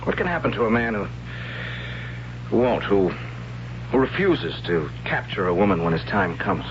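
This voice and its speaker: An actor playing a gentle, questioning young tribesman in a 1970s radio drama, earnest and hesitant. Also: lowish voice, big pitch swings, moderate pace, noisy background. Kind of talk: 1970s radio drama acting, narrating and in character. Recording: dull lo-fi 1970s radio recording.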